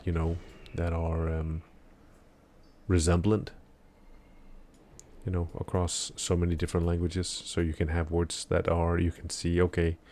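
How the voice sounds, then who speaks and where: A man chats with his stream viewers early in the morning while he plays, still not quite awake. His voice sits very low and barely moves in pitch. He rambles and hesitates, restarting sentences and leaning on fillers. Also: a little mellow. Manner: chatting with viewers. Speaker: live streamer. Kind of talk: casual live-stream chat while gaming.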